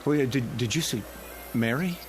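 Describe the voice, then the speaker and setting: An actor playing a dazed, bewildered young spaceship crewman in a radio drama, halting and repeating his words. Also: mid-range voice, natural voice. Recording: radio drama with loud music behind.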